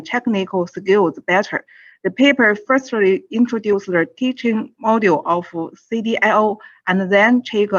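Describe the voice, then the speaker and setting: Woman giving a formal conference pitch. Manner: careful, formal, with restarts. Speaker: conference presenter. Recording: slightly dull lo-fi audio.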